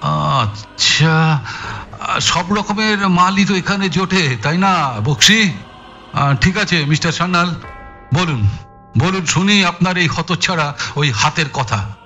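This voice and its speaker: Bright, forceful, weary police detective. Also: male voice, on the high side, slow pace, pauses often, lo-fi sound, faint music behind, exaggerated voice. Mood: mocking. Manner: gruff, condescending, punctuating with weary sighs. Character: tired, irritable, sarcastic male police detective officer. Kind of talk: Bengali audio thriller acting.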